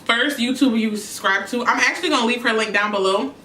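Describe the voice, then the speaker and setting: A woman's voice: on the high side, fast, pushed and bright, a little hiss or hum. A young woman from New York chatting casually on her eating show, answering questions between bites. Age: young adult. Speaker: YouTuber. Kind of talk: casual mukbang chat. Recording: echoey room, mic at a distance.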